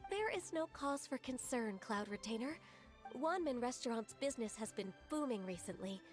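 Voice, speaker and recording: A woman plays a courteous, earnest young assistant in a video game. The voice is high and gentle, with careful, deferential phrasing over faint music. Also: expressive, moderate pace, natural voice. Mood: polite, earnest. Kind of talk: animated video game acting.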